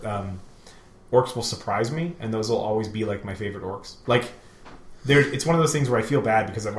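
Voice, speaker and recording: A man's voice: lowish voice, fairly bright tone. A man co-hosting a podcast, rambling casually about games in slangy, sweary storytelling. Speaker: podcast co-host. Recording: echoey room, faint background noise.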